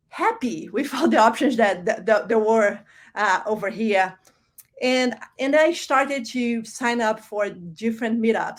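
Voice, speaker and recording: A woman in her thirties with a Brazilian Portuguese accent gives a keynote in a relaxed, conversational way. She often stops to rephrase and fills pauses with "uh" and "like." Her voice sits at a middle pitch for a woman. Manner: conversational, many fillers and restarts. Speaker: keynote speaker. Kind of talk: conference keynote talk.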